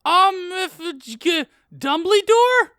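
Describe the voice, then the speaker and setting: A woman's voice: extremely high pitch, animated, takes it slowly, a bit bright, no room sound, studio quality, heavily acted. A woman voicing an angry, dramatic teenage goth girl in a comic story reading, indignant and exaggerated. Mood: excited, shocked.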